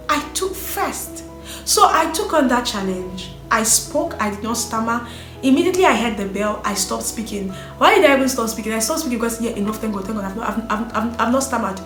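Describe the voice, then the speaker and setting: A woman telling her own life story in an earnest, animated way. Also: on the high side, normal speed. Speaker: vlogger sharing her story. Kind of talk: personal storytelling. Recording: echoey room with faint music.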